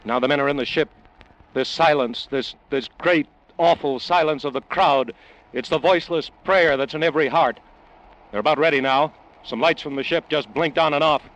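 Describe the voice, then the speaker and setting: A man playing an awed, solemn American radio news reporter in a vintage radio drama, dramatic and pausing for effect, on an old noisy recording. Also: fairly high pitch, lightly acted. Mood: hushed tension. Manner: dramatic, hushed pauses, repeats words for effect. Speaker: field news correspondent. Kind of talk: 1940s-50s radio drama live news commentary.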